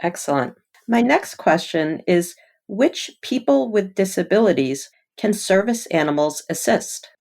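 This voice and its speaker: A woman's voice: mid-range voice, lively intonation, a little slow, vocal fry, a little mellow. A woman asking prepared questions as an interviewer. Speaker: interviewer, medical journal editor.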